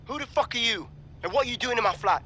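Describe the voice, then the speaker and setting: A man's voice: extremely high pitch, animated, quick pace, sharp tone, slight background noise, heavily acted. A man playing a suspicious, foul-mouthed fugitive tech genius with a British accent in a video game, agitated and confrontational. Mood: threatening, suspicious. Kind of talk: video game cutscene acting.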